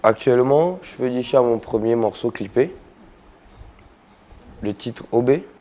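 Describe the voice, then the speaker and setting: A young man from Togo, a rapper being interviewed, answering with halting pauses and fillers. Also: mid-range voice, lively intonation, warm tone, highs cut off. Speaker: guest rapper.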